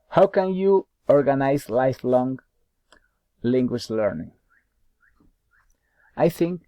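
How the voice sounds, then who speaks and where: A young adult man, a native Spanish speaker with a Spanish accent, answers study questions aloud in English. He is halting and repeats words while searching for them. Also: medium pitch, dark tone, no room sound. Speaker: university English student.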